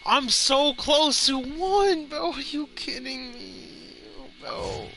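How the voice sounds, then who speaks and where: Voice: sits high, slow pace, background noise. A game streamer giving casual, slangy commentary, grumbling and often saying "bro". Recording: loud game music behind. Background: loud video game music.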